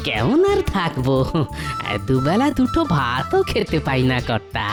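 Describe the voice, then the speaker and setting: A woman's voice: a bass voice, huge pitch swings, unhurried, over music, full character voice. A woman voicing a poor, crafty male village blacksmith who talks in a folksy, exclamatory way in a cartoon dub. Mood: meek, crafty. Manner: rustic, exclamatory, self-muttering.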